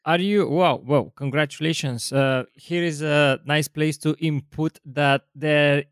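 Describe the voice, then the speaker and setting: A man's voice: on the high side, fairly even intonation, unhurried, clear, resonant tone, no echo, studio quality. A man from Bulgaria, hosting a casual interview over a video call and asking questions eagerly. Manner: eager, enthusiastic. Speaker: host.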